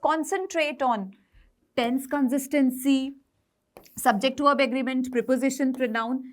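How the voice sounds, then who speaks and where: A high-voiced woman with an Indian accent gives a classroom-style English lesson. She explains point by point with firm emphasis, often repeating herself and checking that learners are following. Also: fairly bright tone. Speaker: English exam-prep teacher.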